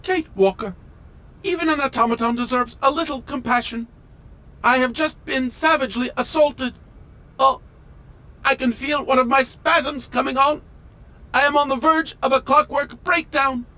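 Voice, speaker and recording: High-pitched, fussy, pompous automaton. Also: male voice, moderate pace, sharp tone, low-quality recording. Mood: plaintive, self-pitying. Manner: pompous, theatrical, formal and fussy diction. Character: fussy, pompous male automaton train engineer, melodramatic and wounded. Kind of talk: video game character acting.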